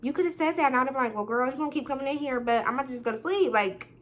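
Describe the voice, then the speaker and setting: Loud, animated young woman telling a story. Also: high-pitched, rapid-fire, a little hiss or hum. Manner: loud, animated, quotes people in different voices. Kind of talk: casual storytime monologue.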